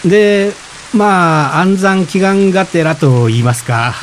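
An actor playing a polite, gentle, self-effacing expectant father in reflective radio drama dialogue. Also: high-pitched, slow pace, slight background noise. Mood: amiable. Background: rain.

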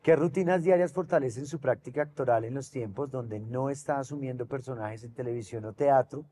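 A man's voice: medium pitch, a little fast, dark tone, slightly rough. A man in his thirties with a Colombian accent, hosting an interview in a casual, conversational way. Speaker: interviewer, fellow actor. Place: large glass-walled event space with seated audience. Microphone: lapel mic. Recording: noticeable background ambient noise.

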